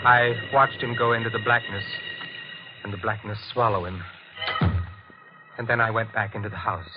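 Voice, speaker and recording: Male actor as nervous brooding husband, confessional, measured, lowish voice, 1940s radio drama, dull lo-fi sound. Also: steady tone, natural voice. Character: nervous, brooding older husband, secretive bird keeper with murderous past. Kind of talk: 1940s radio acting, first-person narration. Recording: muffled old radio, loud music behind.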